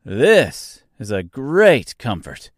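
A man voicing a polite, sad, rusted man made of tin in a storybook, speaking slowly and earnestly. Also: medium pitch, sing-song, no room sound, clean studio sound, pitched above the performer's own voice. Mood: relieved. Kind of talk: storybook character voice.

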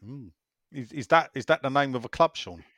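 Hoarse male podcast host, jokey banter, very animated pitch, mid register, dry close mic. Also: brisk, no echo. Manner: chatty, jokey, lively delivery. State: getting hoarse. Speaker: podcast host reading listener messages. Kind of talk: casual banter.

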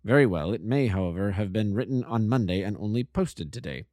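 Cool, measured detective voiced by a male reader, low and clipped, dark mellow tone. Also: animated, no echo, clean studio sound, close to an everyday voice. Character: cool, lordly Victorian detective, middle-aged.

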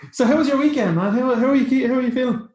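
A man's voice: very high-pitched, wildly swooping pitch, fairly quick, reverberant. A young adult Irish man co-hosting a podcast in casual chat, laughing easily. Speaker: podcast co-host.

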